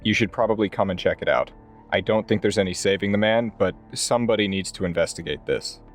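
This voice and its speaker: A man playing a tabletop roleplaying game, improvising in-character lines with measured, somewhat formal phrasing. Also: lowish voice, soft background music.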